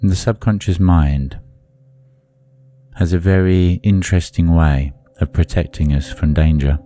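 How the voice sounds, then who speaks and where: A man leading a guided meditation in a soothing, deliberate manner, leaving long pauses between phrases. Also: low voice, warm tone.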